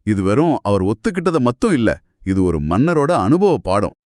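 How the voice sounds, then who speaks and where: A man with a mid-pitched, very expressive delivery co-hosts a talk. He sounds curious and reflective, recorded dry and free of room echo. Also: moderate pace. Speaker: podcast co-host asking questions and summing up. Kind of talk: scripted conversational discussion.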